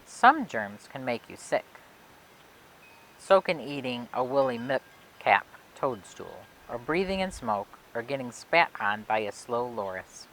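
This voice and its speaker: A woman reading a children's picture book aloud for a library storytime, expressively and phrase by phrase with emphatic pauses. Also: rumbling low, big pitch swings, fairly bright tone, rough recording. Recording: clear background noise and hiss.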